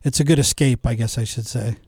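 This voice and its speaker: Male guest, middle-aged or older, modest casual conversational delivery, mid-pitched, close dry studio recording. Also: brisk, darkish tone, slightly rough, no room sound. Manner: modest, understated, short answers. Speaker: interview guest, amateur performer. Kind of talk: casual interview chat. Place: radio studio.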